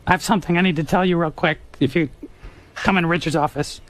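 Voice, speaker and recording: A man with a high voice and a bright, forward tone talks on a radio show. He is casual and conversational, with an earnest way of recounting things. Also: steady tone, quick pace. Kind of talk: casual radio studio talk. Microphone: studio radio mic.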